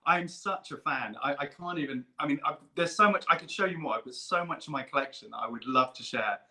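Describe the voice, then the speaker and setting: A man telling eager, rambling stories on a video call, like an excited fan. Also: on the high side, quick pace. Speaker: guest puppeteer and performer. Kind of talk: casual chat.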